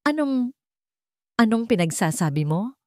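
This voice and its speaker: A woman's voice: fairly high pitch, sing-song, unhurried, darkish tone, no room sound, studio quality, pitched above the performer's own voice. A woman voicing a deceitful fiancée with a false sweetness in a dramatic audio story. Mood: flustered.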